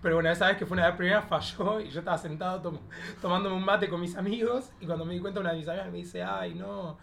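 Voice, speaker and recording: A man's voice: sits high, fairly even intonation, fast, vocal fry, fairly bright tone, a little hiss or hum. A man with an Argentine accent telling anecdotes as a podcast guest, chatty, slangy and rambling, with a campy manner. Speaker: podcast guest, drag performer. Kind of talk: casual anecdotal chat.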